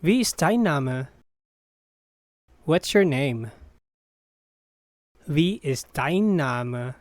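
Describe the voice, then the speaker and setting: A teacher's voice slowly repeating short phrases in a language drill, each one said clearly and evenly. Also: medium pitch, expressive, no echo, studio quality. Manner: deliberate, evenly paced repetition of short phrases.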